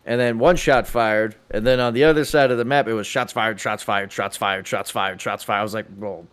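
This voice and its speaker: A man's voice: on the low side, steady tone, brisk, a bit bright, smooth. A man chatting casually and swearing now and then while playing a role-play game online. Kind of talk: casual gaming chat during role-play.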